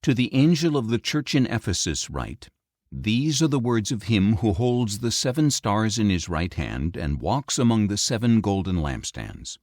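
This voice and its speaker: A man reading scripture as an audiobook narrator, in a solemn, measured, declamatory way. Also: on the low side, sing-song, a little mellow, no room sound, studio quality. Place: dry recording studio.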